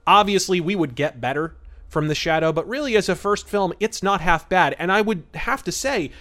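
A man's voice: high voice, normal speed, a bit bright. A man hosting a podcast, giving film review and history commentary in a conversational, opinionated, wryly amused way. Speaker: podcast host.

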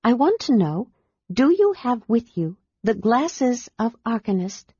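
Woman voicing an earnest, gentle librarian, lively, storybook reading. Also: on the high side, measured pace, slightly rough, dry recording, muffled recording, voice pushed up, full character voice. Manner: warm, earnest, careful.

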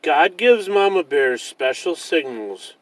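A man voicing a loving, gentle mother bear who reassures her cub in a children's storybook. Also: a little high, very animated, slow pace, no room sound, voice pushed up, full character voice, poor audio. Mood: animated.

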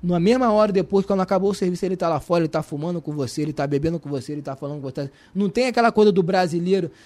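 A Brazilian man with a Brazilian Portuguese accent, a guest telling his story in a lively, colloquial way, full of restarts and repetitions. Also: high-pitched, racing. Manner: animated, colloquial, repeats and restarts. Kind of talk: casual storytelling.

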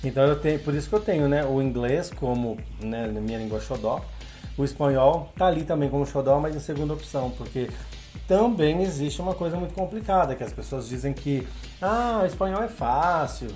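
A man's voice: on the high side, a little fast, soft background music. Brazilian man telling stories at an easy pace. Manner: relaxed storytelling, many filler tags. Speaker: guest, English teacher. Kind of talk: casual podcast interview.

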